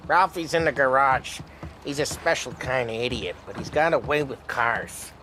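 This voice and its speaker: A man's voice: medium pitch, normal speed, noisy background, close to an everyday voice. An actor playing a cocky, teasing male mobster in a video game. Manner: wisecracking, teasing, laughs loudly.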